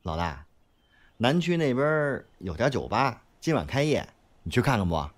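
A man's voice: medium pitch, big pitch swings, normal speed. A man acting a cheeky, grumbling sidekick in an audio drama, slangy and exasperated as he teases his boss. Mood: teasing, grumbling.

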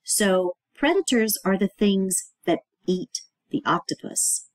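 A woman's voice: medium pitch, expressive, takes it slowly, no echo. A woman reading aloud and explaining to young children as a teacher, stressing key words and putting questions to the listener.

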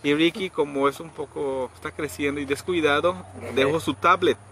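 A middle-aged man casually telling a story outdoors, acting out quoted people with sudden high pitched voices. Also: fairly even intonation, normal speed, a crowd in the background. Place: outdoors under trees in a rural yard. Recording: outdoor noise in background.

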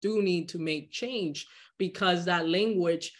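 A woman's voice: lowish voice, slow pace. A young woman from the Dominican Republic, a guest on a video call interview, speaking earnestly and often restarting words as she thinks. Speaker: guest, social entrepreneur. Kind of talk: candid interview conversation. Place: home living room.

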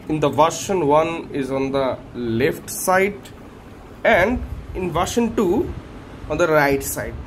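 A man hosting a hands-on tech teardown, speaking in short phrases with halting pauses. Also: high-pitched, a little hiss or hum. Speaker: tech video host. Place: small indoor workshop room.

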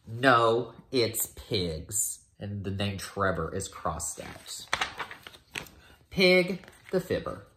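American male teacher, thirties, storybook read-aloud for young kids, very lively pitch, unhurried pace. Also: slight background noise. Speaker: teacher reading to first graders. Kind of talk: animated picture-book read-aloud with casual asides. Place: small room at home. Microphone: phone or laptop at arm's length.

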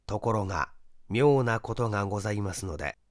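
A man's voice: lowish voice, animated, a little fast, dry recording, clean studio sound, pitched below the performer's own voice, natural voice. A man voicing an old landlord, a humble and very polite shopkeeper witness in an audiobook mystery. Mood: polite, earnest.

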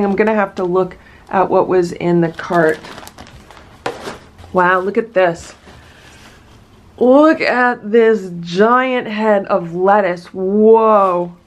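A woman's voice: mid-range voice, takes it slowly, slight background noise. A woman in casual vlog talk, chatty and enthusiastic as she unboxes a farm box at home. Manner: enthusiastic, chatty, many asides. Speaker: vlogger unboxing a produce box.

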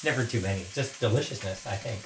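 Older guy chatting through his cooking, small kitchen, pans sizzling loud behind him. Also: lowish voice, fast, creaky. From United States. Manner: chatty, self-correcting, narrates each step as he cooks. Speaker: home cooking show host. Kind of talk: casual cooking vlog. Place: small home kitchen, indoors. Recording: noisy home kitchen with frying sizzle. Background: frying and sizzling.

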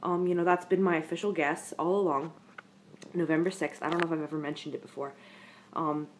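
A woman's voice: low voice, fairly even intonation, a little fast, slight background noise. Congested young woman chatting to her webcam. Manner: chatty, rambling, talks straight to viewers. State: congested from a head cold. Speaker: vlogger. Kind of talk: casual pregnancy update to camera. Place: living room, indoors, on a couch.

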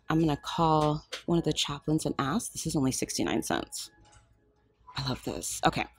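Low-voiced woman gushing in a store. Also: husky. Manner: excited, gushing, often hushed to a whisper. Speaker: shopping vlogger. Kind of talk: shop-with-me vlog. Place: craft store aisle. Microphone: handheld phone.

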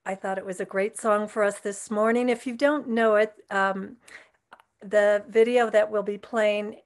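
A woman's voice: medium pitch, fairly even intonation, unhurried. A woman in her fifties, a song leader giving an informal, conversational announcement. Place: room at home.

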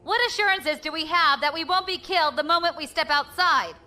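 An actress playing a wry, defiant space pirate leader with a flippant, confident manner in an audio drama. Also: female voice, sits high, a little fast, sharp tone.

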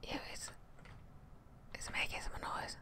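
A young woman softly whispering ASMR commentary as she plays a video game, unhurried and murmuring to herself, close to the microphone. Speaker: streamer playing a video game. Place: indoors at a desk. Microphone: close desk microphone with headset on.

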